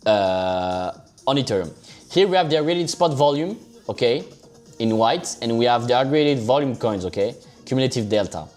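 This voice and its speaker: A man's voice: medium pitch, a little mellow. French-accented man talking through live charts. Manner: loose and chatty, keeps saying "so basically" and "okay?". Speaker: livestream crypto trader. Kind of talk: casual live trading commentary.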